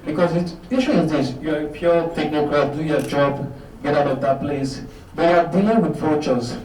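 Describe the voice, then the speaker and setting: A Nigerian man with a fairly high voice puts a question at a public event. He is emphatic and repeats his points, heard at a distance with plenty of room echo. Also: normal speed, a little mellow. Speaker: audience member asking a question. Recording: roomy, distant mic, faint noise.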